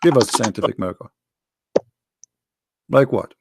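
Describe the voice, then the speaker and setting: Gravelly-voiced insistent man, native Arabic speaker. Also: medium pitch, expressive, unhurried, background noise. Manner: insistent, challenging, repeats himself. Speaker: host debating a guest. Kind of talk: live unscripted religious debate.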